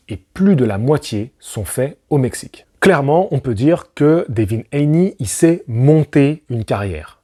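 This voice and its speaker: A man's voice: mid-range voice, warm tone, slightly rough. A man hosting a casual boxing analysis, talking straight to the viewer with slangy asides and rhetorical questions. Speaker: boxing channel host.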